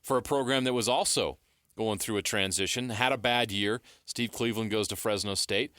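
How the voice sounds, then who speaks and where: An American man co-hosting sports radio, giving measured, explanatory analysis in a conversational style on a close studio mic. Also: lowish voice, sharp tone, no echo. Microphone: close studio broadcast mic.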